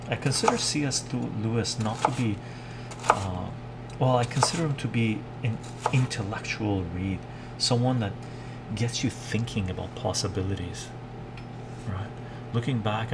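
A man, chatting casually and haltingly on a cooking livestream, musing and repeating phrases for emphasis. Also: lowish voice. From Canada, born in Iran. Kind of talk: casual livestream chat while cooking, reading viewer comments. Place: home kitchen, indoors. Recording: loud kitchen noise, chopping and clatter.

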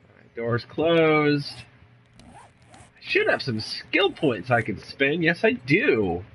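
A man's voice: a little high, huge pitch swings, slow pace, a bit bright, slight background noise. A young man with a congested voice from a cold, chatting casually and rambling through a let's play from a room at home. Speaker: gaming YouTuber.

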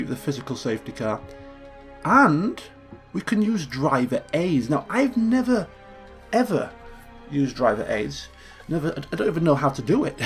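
Male let's play host, mid-pitched and gravelly, croaky and chatty, thinking aloud. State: croaky from just waking up. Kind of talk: casual gameplay commentary.

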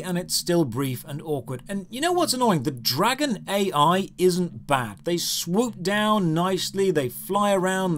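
Young male game reviewer, high-set voice, scripted voice-over with dry exasperation and sarcasm.

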